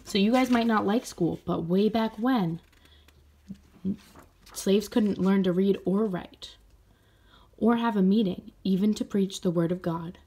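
A woman's voice: mid-range voice, unhurried, pauses often, warm tone, breathy edge. A woman reading a storybook aloud expressively, as if to young listeners. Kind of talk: storybook read-aloud narration.

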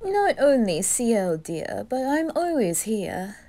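A woman's voice: a little high, sing-song, slow pace, warm tone, full character voice. A woman voicing a flamboyant, doting, motherly reaper in an ASMR fan roleplay, gushing with endearments.

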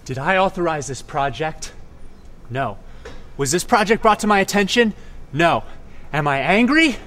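A man playing a furious studio boss who fires off rhetorical questions and shouts. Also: fairly high pitch, animated, unhurried, in a large hall. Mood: angry.

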